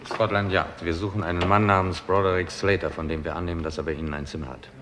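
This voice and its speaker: An actor playing a curt, commanding detective chief in a 1960s German crime radio play, speaking tersely and with authority. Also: male voice, sits low, flat delivery, fast talker, close to an everyday voice. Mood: stern, businesslike. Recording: noisy 1960s radio play recording, clicks.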